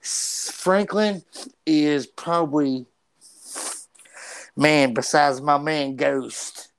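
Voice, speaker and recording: Stuttering man co-hosting, higher voice. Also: extremely slow, smooth, poor audio. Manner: animated, profane, stumbles and repeats words. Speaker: podcast co-host. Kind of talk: casual podcast chat.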